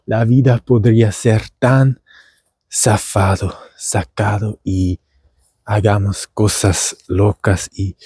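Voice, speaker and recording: Man, Argentine accent learned abroad, meandering theatrical monologue, very dark tone, dry sound. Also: fairly low pitch, breathy edge, no echo. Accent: Argentine Spanish learned by a German speaker. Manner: meandering, theatrical, with many fillers and restarts. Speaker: language teacher and podcaster. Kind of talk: rambling comedic monologue. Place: outdoors at dusk.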